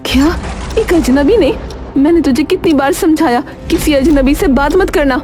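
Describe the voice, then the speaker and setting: A woman's voice: sits high, moderate pace, over music, pitched above the performer's own voice, lightly acted. A woman voicing a worried, strict mother from a poor household in a cartoon story, admonishing in manner. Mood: alarmed scolding. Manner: stern, admonishing.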